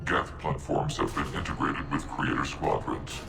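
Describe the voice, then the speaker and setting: A man playing a logical machine intelligence in a video game, speaking in a measured, formal, emotionless way. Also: rumbling low, fairly even intonation, vocal fry, roomy sound, exaggerated voice. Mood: calm, resolute. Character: calm, logical male-voiced machine intelligence soldier. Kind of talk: video game dramatic acting. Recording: game dialogue over music.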